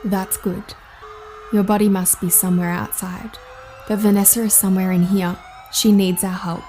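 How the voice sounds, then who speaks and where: A woman's voice: mid-range voice, level tone, normal speed, dark tone, soft background music, close to an everyday voice. A woman acting the ghost of a young woman, frank and matter-of-fact, in an audio drama. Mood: calming, firm.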